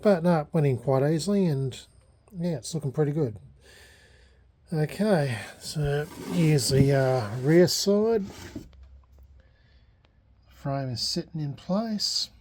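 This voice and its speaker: An Australian man giving a casual, unscripted hobby vlog update, speaking haltingly with frequent pauses. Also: a little high, animated, a little mellow, a little hiss or hum. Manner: halting, hesitant, unscripted delivery with many pauses. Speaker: hobbyist vlogger. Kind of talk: casual restoration progress vlog.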